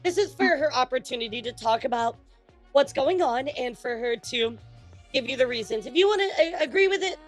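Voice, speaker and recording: Woman interviewer, kinda high voice, lots of ums, soft music under. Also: expressive, fairly quick. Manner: casual, many fillers. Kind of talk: online interview.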